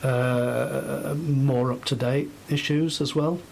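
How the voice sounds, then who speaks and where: A man's voice: mid-range voice, steady tone, takes it slowly, vocal fry, darkish tone, a little hiss or hum. An older man giving conversational answers as an interview guest. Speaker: charity volunteer, former teacher.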